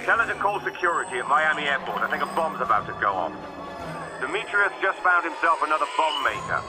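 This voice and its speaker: A man playing an alert, decisive secret agent in a video game, brisk and terse as he reports danger. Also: on the high side, very animated. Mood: urgent, certain. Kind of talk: video game cutscene dialogue. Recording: game music behind the voice.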